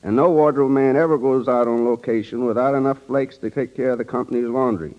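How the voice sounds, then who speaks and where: A man's voice: mid-range voice, fairly even intonation, brisk, muffled recording. A man giving a scripted, formal guest interview on an old 1930s radio broadcast, as if reading prepared lines. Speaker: studio wardrobe director, radio guest.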